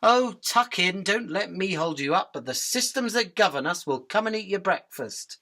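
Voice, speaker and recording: Insect doomsayer for radio play, female actor: sharp bright tone, theatrical, earnest and defiant, preaching with a sardonic edge. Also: medium pitch, lively intonation, normal speed. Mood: urgent. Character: tiny, earnest, defiant winged insect doom prophet. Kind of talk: theatrical radio drama acting.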